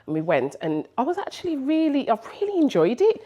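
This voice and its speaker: Lively, chatty British woman interviewer. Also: high voice, fairly quick, crowd noise. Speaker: radio interviewer.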